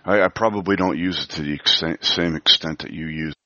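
Man, guest, casual chat, hesitant, lots of fillers, extremely deep voice, dull dry sound. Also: a little fast, pushed and bright, a little hiss or hum. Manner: hesitant, many fillers, friendly.

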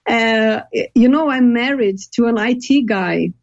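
A woman's voice: on the high side, unhurried, a little mellow, no echo. A woman from Brazil with a Brazilian accent, Portuguese native, calling in to a podcast, laughing easily and explaining patiently. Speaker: caller. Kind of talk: casual call-in chat. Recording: dull lo-fi call line.

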